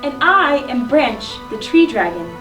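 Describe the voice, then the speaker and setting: A courteous fantasy flying creature, voiced by a female, slightly high and somewhat off the mic. Music plays clearly behind the children's theater lines. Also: sing-song, measured pace, distant mic, low-quality recording. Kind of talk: children's reader's theater acting.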